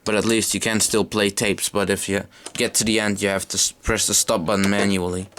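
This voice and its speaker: A man's voice: on the low side, flat delivery, fairly quick, fairly bright tone. A man casually talking through an unscripted hands-on gear demonstration, a little hesitant as he goes. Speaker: hobbyist demonstrating vintage audio gear. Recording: noisy amateur camera sound with clicks.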